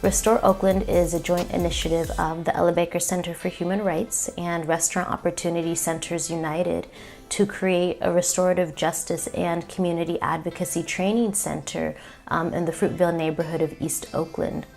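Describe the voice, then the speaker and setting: A woman's voice: fairly low pitch, little pitch movement, moderate pace, vocal fry, darkish tone, soft background music. A woman community organizer telling a personal story, reflective and earnest, with frequent ums.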